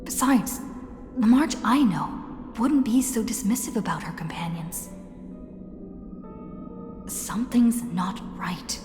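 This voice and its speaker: A woman voicing a thoughtful, suspicious young heroine in an anime game, earnest and measured as if reasoning to herself. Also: mid-range voice, expressive. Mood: wary, pondering. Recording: echoey reverb, music behind.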